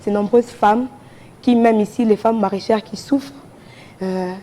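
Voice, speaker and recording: A woman's voice: mid-range voice, fairly even intonation, a little slow, mellow, slight background noise. A woman with a Congolese accent speaking as a charity guest in a TV studio, making an earnest appeal with repeated phrases. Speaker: charity association representative, TV guest.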